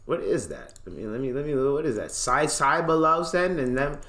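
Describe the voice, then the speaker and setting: A man with a medium-pitched voice hosts a casual podcast. He reads news copy with playful theatrical emphasis, repeats words and drops into slangy asides. The recording is noticeably echoey and roomy. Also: animated, moderate pace, fairly bright tone. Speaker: podcast host. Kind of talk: casual podcast commentary with dramatic reading of news.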